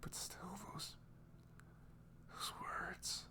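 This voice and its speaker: A man playing an emotional, remorseful ex-boyfriend in an intimate ASMR roleplay, speaking softly and haltingly as he pleads and confesses. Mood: whispered pleading. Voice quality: soft, breathy, low murmur with creak.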